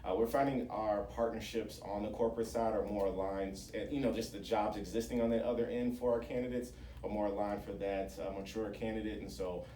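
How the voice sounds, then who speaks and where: Male interview guest, conversational founder type; dark, soft-edged tone, roomy sound with faint noise. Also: on the low side, steady tone, brisk, far from the mic. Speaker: interview guest, founder of a job-training organisation. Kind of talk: radio interview, explaining programmes.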